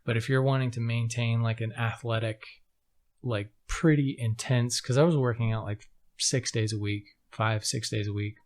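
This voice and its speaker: A man's voice: mid-range voice, fairly even intonation, normal speed, darkish tone. A man in his thirties, a podcast guest, chatting loosely and joking around, with casual swearing. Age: 30s. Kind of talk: casual chat.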